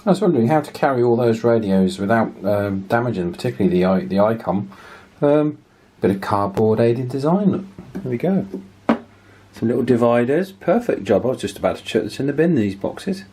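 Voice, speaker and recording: A man's voice: mid-range voice, animated, a little fast. A British man hosting a radio gear review in a casual, conversational way. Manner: informal, conversational. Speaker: radio review video host. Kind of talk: casual hands-on product review. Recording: very noisy, vehicle and radio noise.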